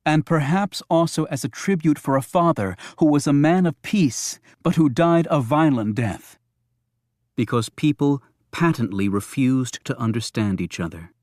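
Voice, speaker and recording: A man's voice: fairly high pitch, measured pace, a little mellow, dry recording. Male audiobook narrator, dry close recording. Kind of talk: scripted narration of a thriller audiobook.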